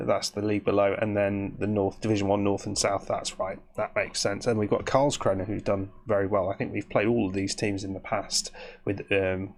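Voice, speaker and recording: Brit guy rambling over his game, stuffed up w hay fever, raspy croaky low voice. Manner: rambling, chatty, with frequent restarts. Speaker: host. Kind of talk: casual gameplay commentary.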